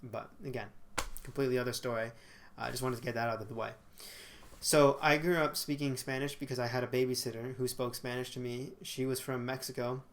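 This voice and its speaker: A man's voice: mid-range voice, little pitch movement, fairly quick, a little hiss or hum. A young man in his twenties talking casually to a webcam in a small bedroom, with frequent fillers and self-corrections. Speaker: vlogger. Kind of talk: casual talking-head monologue.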